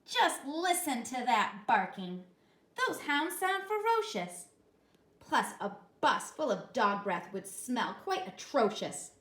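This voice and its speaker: A woman voicing a fussy cat mother who speaks slowly and emphatically, recorded in an echoey kitchen. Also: sing-song, a bit bright, full character voice. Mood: dramatic. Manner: slow, emphatic, high.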